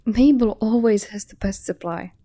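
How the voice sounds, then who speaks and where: A woman's voice: medium pitch, normal speed, mellow, no room sound, close to an everyday voice. A woman playing a composed, decisive and shrewd village advocate in a fantasy audio drama, speaking with poise. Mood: composed, knowing. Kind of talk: fantasy audio roleplay acting.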